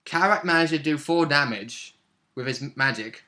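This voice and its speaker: A man chatting casually as a player, recounting a tabletop role-play session in an animated storytelling manner. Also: on the high side, normal speed. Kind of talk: casual chat recap of a game session.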